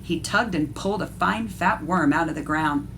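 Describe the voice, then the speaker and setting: A woman's voice: on the low side, moderate pace, fairly bright tone, a little hiss or hum, poor audio. A woman art teacher reading a picture book aloud expressively and explaining things to young listeners. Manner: instructive, addresses young listeners directly.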